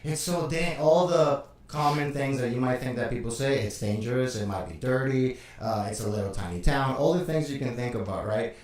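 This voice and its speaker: A man co-hosting a live stream chat, speaking in a relaxed way with frequent "uh" fillers. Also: mid-range voice, a little fast, few pauses, heavy reverb, distant mic. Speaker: co-host.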